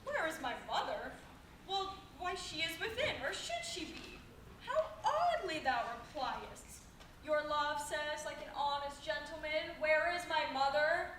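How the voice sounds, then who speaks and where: Very echoey, noisy room. Woman as lovestruck young girl in stage Shakespeare, very high and impatient, pleading theatrically. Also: wildly swooping pitch, unhurried, fairly bright tone, far from the mic, heavily acted. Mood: frustrated, coaxing. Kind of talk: amateur Shakespeare play rehearsal.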